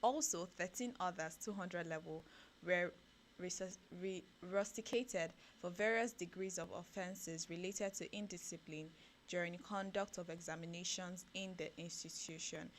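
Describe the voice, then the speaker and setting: Nigerian woman radio host, low voice. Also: normal speed, a little hiss or hum. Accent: Nigerian English. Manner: reads headlines then comments animatedly, rising when excited. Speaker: radio breakfast show co-host reading news. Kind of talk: newspaper review with commentary. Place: radio studio.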